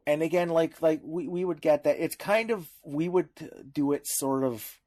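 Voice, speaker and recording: A man's voice: on the high side, a little mellow, no echo. Canadian man talking in stops and starts, dry sound. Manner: halting, with repeated words and restarts. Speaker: podcast co-host. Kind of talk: casual discussion of media law.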